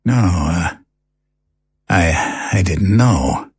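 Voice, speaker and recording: An actor playing a stressed, bewildered husband in an audio drama, stammering and exasperated. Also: male voice, sits low, expressive, drawn-out words, a little mellow, breathy edge, exaggerated voice. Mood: uneasy, hurt.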